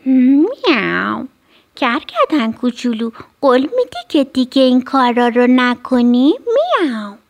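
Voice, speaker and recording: A small, prim cat from a children's storybook, put on by a woman. It speaks firmly and measuredly in a creaky voice, dropping meows between its sentences. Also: sits high, sing-song. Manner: measured, firm, meowing.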